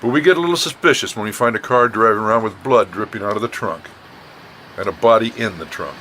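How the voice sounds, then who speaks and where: A man's voice: fairly low pitch, quick pace, background noise, lightly acted. An actor playing a suspicious city patrolman in an old-time radio mystery, a man giving clipped, commanding orders. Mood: suspicious, stern.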